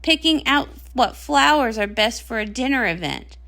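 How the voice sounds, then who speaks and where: A woman plays a young pony princess pop star in a comic read-along. The voice is high and bright, swinging widely with dramatic indignation and sarcasm. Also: expressive, moderate pace, vocal fry, heavily acted. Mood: haughty.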